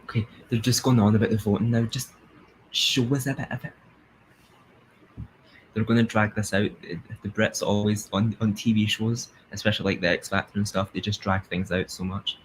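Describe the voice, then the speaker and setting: A young man with a Glasgow-area Scottish accent, chatting excitedly and laughing as he comments on a live stream. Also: lowish voice, normal speed, slight background noise, poor audio. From Scotland.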